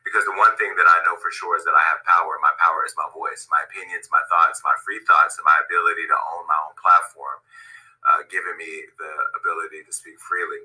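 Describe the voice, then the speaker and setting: A man giving a direct-to-camera statement from an office, speaking in a deliberate, measured way and repeating phrases for emphasis. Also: deep voice, little pitch movement, pushed and bright, rough recording. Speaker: media founder giving a video statement.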